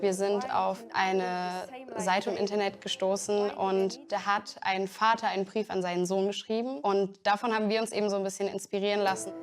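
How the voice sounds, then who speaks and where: A woman's voice: medium pitch, level tone, normal speed, few pauses, music in the background. An eighteen-year-old woman musician, talking earnestly and casually about her song. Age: teens. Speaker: musician in a duo. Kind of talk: interview statement in a film.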